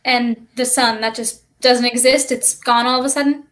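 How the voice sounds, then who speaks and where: A high-voiced child acted by a woman reassures and teases a companion. It is performed as a staged reading of a drama, with a protective, wry manner. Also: fairly even intonation, moderate pace, a bit bright, close to an everyday voice. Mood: teasing. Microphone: video call.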